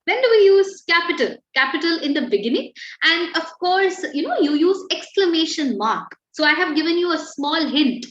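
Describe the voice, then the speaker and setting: Lady teacher, high voice, encouraging, lots of "you know", echoey muffled call audio. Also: expressive, normal speed, few pauses, a bit bright. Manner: encouraging, explains with examples and fillers. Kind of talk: online class instruction.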